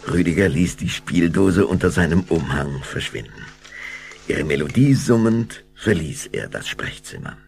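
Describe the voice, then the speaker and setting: A man's voice: low voice, expressive, unhurried, darkish tone. A man narrating a German children's audio drama as a scripted storyteller. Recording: clear background hum and noise.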